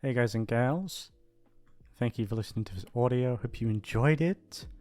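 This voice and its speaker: A man with a mid-pitched voice rambles casually to his audience over music. He is hesitant and self-deprecating, drifting along without much direction. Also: moderate pace, a little mellow, no echo. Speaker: voice actor signing off to listeners. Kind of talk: casual rambling outro chat.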